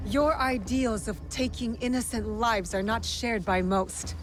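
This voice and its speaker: A woman's voice: a little high, unhurried, over music, lightly acted. Stern young woman assassin played by an actress. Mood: cold, righteous. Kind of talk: dramatic video game acting.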